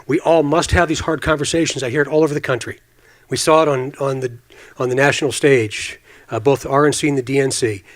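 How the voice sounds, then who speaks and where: An earnest man in his fifties with a mid-pitched voice. He speaks like a police leader making an impassioned case at a public forum, repeating phrases to drive them home. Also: fairly bright tone. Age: 50s. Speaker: state police official on a forum panel.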